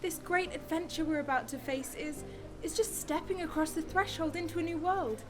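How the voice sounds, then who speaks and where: A woman's voice: very high voice, steady tone, moderate pace, music in the background. A woman playing a serene, hopeful newlywed in a radio drama, soothing and romantic.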